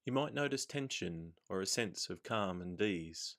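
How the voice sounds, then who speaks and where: A man narrating a guided meditation as the guide, in a calm, soothing, measured manner with gentle instructions. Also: sits low.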